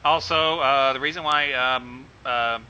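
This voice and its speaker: Guest co-host, very bright, lively voice, excitable reactions, dull lo-fi call sound. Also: male voice, medium pitch, a little slow, clean tone. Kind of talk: casual commentary over a call.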